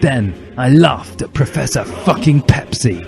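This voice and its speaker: A man's voice: mid-range voice, lively intonation, measured pace, gravelly. A man giving a dramatic, mock-serious reading of comic fan fiction with theatrical emphasis. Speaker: reader. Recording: music behind the voice.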